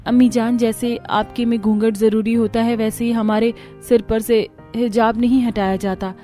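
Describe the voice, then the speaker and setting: A woman voicing a gentle, deferential young bride in a cartoon family story. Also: on the high side, flat delivery, moderate pace, faint music behind, close to an everyday voice. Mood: polite, hopeful.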